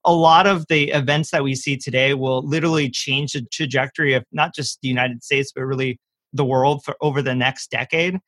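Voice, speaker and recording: A man with a bright, forward voice at a middle pitch, recorded dry and close. He explains things conversationally as an interview guest, with enthusiasm and clear emphasis on key words. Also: fairly even intonation, fairly quick, dry recording. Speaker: venture capital partner, interview guest. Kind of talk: conversational self-introduction and explanation.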